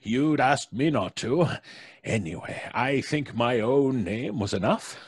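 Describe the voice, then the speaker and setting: A man's voice: medium pitch, animated, slow, breathy edge, no room sound, clean studio sound, full character voice. A man playing a hearty, pompous, self-satisfied theatrical actor in an old-time radio play, effusive and grandiose. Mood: boastful, chummy.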